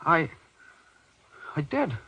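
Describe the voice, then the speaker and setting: An actor playing an anxious middle-aged businessman in an old-time radio horror drama, talking in halting, stammering bursts. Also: bright, forceful tone, no room sound. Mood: shaken, hesitant. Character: balding, pot-bellied businessman, anxious, laughing off dread. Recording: dull, lo-fi old radio recording.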